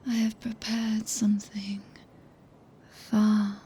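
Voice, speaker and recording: A woman with a British accent playing a regal, possessive witch in whispered ASMR roleplay, speaking archaically and imperiously with long pauses, close to the microphone. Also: mid-range voice, completely flat delivery, warm tone. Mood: solemn, possessive. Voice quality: breathy, whispered. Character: regal, possessive witch, cool yet tenderly commanding. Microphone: close binaural ASMR microphone.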